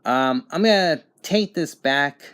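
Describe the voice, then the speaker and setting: A man's voice: fairly high pitch, expressive, slow, a bit bright, clear, resonant tone. Casual man reviewing a gadget. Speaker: radio reviewer. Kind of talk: casual, unscripted product review.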